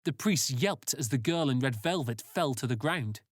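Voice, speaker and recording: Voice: medium pitch, lively intonation, moderate pace, no room sound, clean studio sound. An author reading their own story aloud as scripted audiobook narration.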